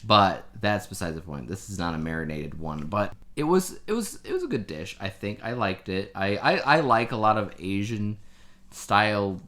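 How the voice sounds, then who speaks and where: A man with a low-pitched voice talks casually as a podcast co-host. He lays out his opinions and explains them in an everyday, conversational style. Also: animated, moderate pace, a bit bright. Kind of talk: casual chat.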